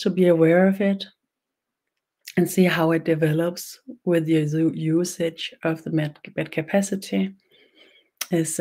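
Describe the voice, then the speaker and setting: Slow, soft-spoken Danish-accented woman. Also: deep voice. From Denmark. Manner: halting, pauses often, repeats words. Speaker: spiritual webinar host. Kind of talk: slow meditative teaching.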